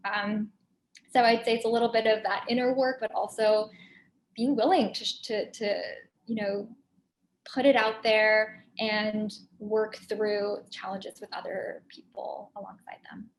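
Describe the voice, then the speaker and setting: A woman's voice: mid-range voice, steady tone, low-quality recording. A woman panelist speaking thoughtfully on a video call, with frequent fillers and restarts. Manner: halting, many restarts and fillers. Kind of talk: casual panel discussion.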